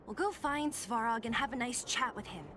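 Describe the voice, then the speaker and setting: A woman's voice: on the high side, normal speed, fairly bright tone, lightly acted. An actress voicing a blunt, sarcastic young female fighter in video game dialogue. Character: tough, exasperated young female underworld fighter.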